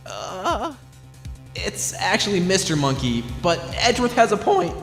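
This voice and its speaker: A man's voice: high voice, huge pitch swings, unhurried, gravelly, fairly bright tone, in a large hall, soft background music, heavily acted. A man playing an earnest, easily flustered young defense lawyer in an amateur courtroom game fan dub, emphatic and reactive. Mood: resigned.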